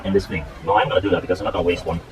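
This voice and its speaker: A man's voice: deep voice, steady tone, rapid-fire. A man chatting casually and thinking aloud as he works on a DIY build, hesitant and often trailing off mid-thought. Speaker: DIY maker filming a build video. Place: outdoors in a garden. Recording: noisy outdoor garden recording.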